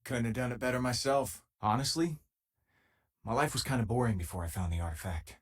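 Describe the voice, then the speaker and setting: A man playing a loyal, easygoing former miner and spaceship crewmate in a video game, with a husky, casual, wry delivery. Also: mid-range voice, brisk, natural voice. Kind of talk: video game companion dialogue.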